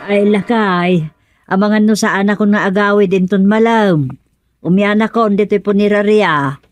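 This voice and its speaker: A woman's voice: mid-range voice, normal speed, natural voice. A woman playing a chatty, persuasive village wife in an Ilocano radio comedy drama, with an Ilocano accent. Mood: coaxing. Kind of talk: radio serial drama acting.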